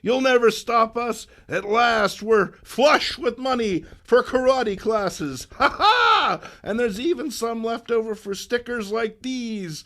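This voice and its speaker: A man's voice: very high-pitched, animated, slow pace, few pauses, a bit bright, voice pushed up, exaggerated voice. A man voicing a brash, menacing woman villain who taunts and exclaims melodramatically. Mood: gloating menace. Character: brash, menacing villainous female karate thug.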